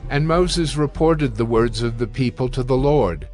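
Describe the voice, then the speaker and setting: A man narrating dramatized scripture in a measured, solemn, clearly phrased way. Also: mid-range voice, a little mellow, soft background music.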